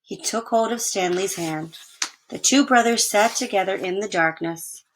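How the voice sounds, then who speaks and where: A woman reading a children's story aloud in an expressive narrating style. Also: mid-range voice, moderate pace, a little hiss or hum, low-quality recording. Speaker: story reader.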